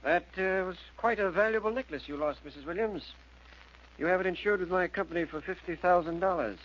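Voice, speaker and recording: An actor playing a shrewd, suspicious, insinuating insurance investigator in 1940s radio style. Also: male voice, sits high, brisk, fairly bright tone. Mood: businesslike. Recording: dull old radio recording, faint noise.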